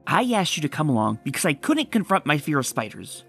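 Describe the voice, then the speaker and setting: A woman's voice: sits low, very animated, a little fast, breathy edge, faint music behind. A woman reading a comic aloud and voicing the heroic adventurer in an earnest, storytelling way. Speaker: comic dub reader.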